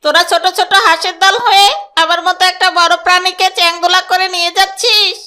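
A woman's voice: very high voice, little pitch movement, fast talker, pushed and bright. A woman voicing a boastful, bullying fox in a children's cartoon, with a haughty, exclamatory delivery. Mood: angry. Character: boastful, bullying fox who fancies himself a scholar. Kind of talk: Bengali children's cartoon voice acting.

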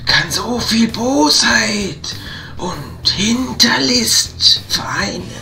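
A woman acts an indignant, noble king in a fantasy radio play. The delivery is extremely drawn out and solemn, with a bright, forceful tone over light music. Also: medium pitch, exaggerated voice. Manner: slow, solemn, dramatic. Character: angry, noble King Necron, accusing his enemy. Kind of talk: fantasy audio drama acting.

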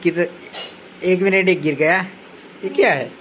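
A man's voice: high-pitched, unhurried. Fields: Sex: man. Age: 30s. Style: playful family vlog. Mic: phone at arm's length. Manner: playful, teasing, chatty. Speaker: vlogger and father. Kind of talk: casual family vlog chat. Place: small home room indoors. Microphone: phone held at arm's length. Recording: phone recording with clear background noise.

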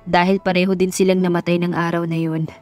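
Woman voicing a soft, low-pitched gentle young husband. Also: brisk, soft background music, close to an everyday voice. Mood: sad, resigned. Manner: calm, soft, hushed delivery. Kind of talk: Tagalog romance audio drama acting.

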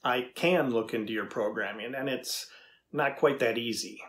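A middle-aged man playing a thoughtful AI researcher in a dramatized interview, deliberate and conversational as he probes. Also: medium pitch, fairly bright tone, natural voice. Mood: explanatory, thoughtful. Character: thoughtful male AI researcher questioning a machine. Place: indoor room with backdrop, seated.

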